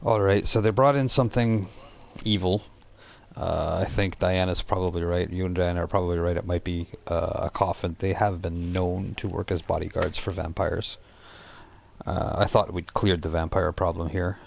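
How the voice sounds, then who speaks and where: A man voicing a worldly, protective male mentor who runs a pub in a tabletop game, blunt and deliberate. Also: low-pitched, steady tone, vocal fry. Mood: grave, pondering.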